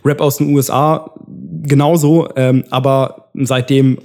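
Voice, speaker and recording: A man's voice: mid-range voice, little pitch movement, unhurried, warm tone, faint music behind. A young man, chatting informally and with slang as a podcast co-host. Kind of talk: casual chat.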